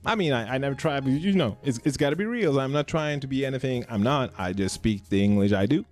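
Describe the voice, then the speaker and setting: A man's voice: medium pitch, animated, fast talker, a little mellow, soft background music. A young man from Sweden hosting a podcast, chatting casually and playfully, laughing often and swearing freely. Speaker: podcast host. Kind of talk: casual chat.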